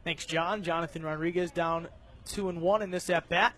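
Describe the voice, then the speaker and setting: A man giving live baseball radio play-by-play commentary, narrating each pitch in measured detail. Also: steady tone, sharp tone. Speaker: play-by-play announcer.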